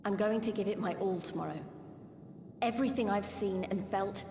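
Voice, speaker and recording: An actress playing an earnest young fighter in a video game, confiding in an informal, hesitant way. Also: female voice, medium pitch, moderate pace, darkish tone, a little hiss or hum, lightly acted. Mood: hopeful, determined. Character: earnest young female fighter, nervous yet determined. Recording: roomy, long reverb, faint music.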